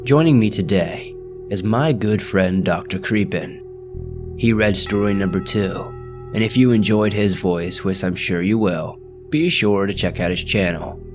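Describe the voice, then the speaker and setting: A man hosting a scary story channel, reading ghost stories aloud in a slow, measured, hushed storytelling delivery. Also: on the low side, over music. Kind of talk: scripted horror story narration.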